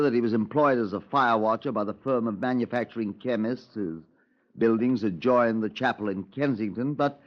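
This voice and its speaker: Actor playing a keen, polite police inspector, old radio play, muffled lo-fi sound. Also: male voice, mid-range voice, lightly acted. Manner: deferential, keeps adding "sir", eager. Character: eager young male detective inspector, deferential. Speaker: detective inspector. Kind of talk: 1950s radio drama acting. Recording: lo-fi vintage radio transcription.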